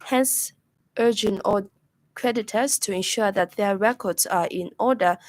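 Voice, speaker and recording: A woman with a Zambian accent reads a scripted news bulletin in a formal, measured way. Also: medium pitch, dry recording, low-quality recording. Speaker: radio news reader.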